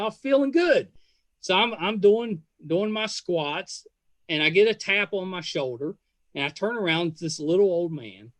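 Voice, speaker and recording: A man's voice: high-pitched, fairly bright tone, no echo. A man in his fifties hosting a casual interview, chatty and self-deprecating as he tells anecdotes. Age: 50s. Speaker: podcast host.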